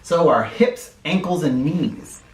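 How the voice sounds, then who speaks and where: A man reading a children's picture book aloud in an expressive, instructive way, speaking directly to young listeners. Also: on the high side, slow pace. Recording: roomy echo, distant from the microphone.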